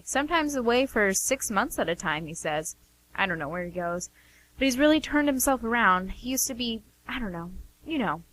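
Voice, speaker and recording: A woman's voice: a little high, a bit bright, low-quality recording. A woman playing an anxious, chatty sister who speaks in a hesitant, earnest ramble in a radio play. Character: anxious, chatty sister defending her wayward brothers. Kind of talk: amateur radio play dialogue.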